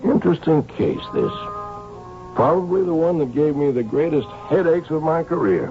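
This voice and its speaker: A shrewd, seasoned inspector recounts and works his case with wry composure. His pitch moves freely and has some fry in it, on a dull vintage radio drama recording. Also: big pitch swings, moderate pace, music in the background. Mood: reflective narrating. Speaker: actor. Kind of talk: radio drama acting with first-person narration. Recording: dull old radio broadcast.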